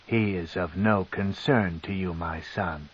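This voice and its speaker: A man voicing a cold, scheming female dark elf matriarch, with a slow, commanding and sneering delivery. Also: sits low, steady tone, husky. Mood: coaxing. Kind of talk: audiobook character reading. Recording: dull lo-fi tape.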